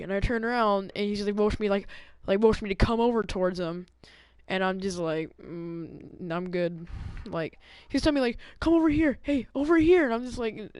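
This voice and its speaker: A man's voice: high voice, animated, normal speed, smooth, dry recording. A young man casually telling stories as a gaming video host, slangy and acting out the dialogue. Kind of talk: casual storytelling over gameplay.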